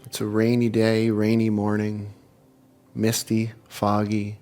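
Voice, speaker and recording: A man with a fairly low voice leads a guided meditation. He speaks in unhurried, soothing phrases and leaves long pauses between them. Music plays clearly behind his voice. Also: completely flat delivery, darkish tone. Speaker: meditation leader and spiritual speaker.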